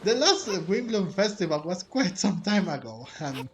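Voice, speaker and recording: A man giving casual game commentary as he plays, rambling and halting as he talks. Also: high voice, very animated, slight background noise. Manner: rambling, halting, frequent chuckles. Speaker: gaming streamer playing a story quest.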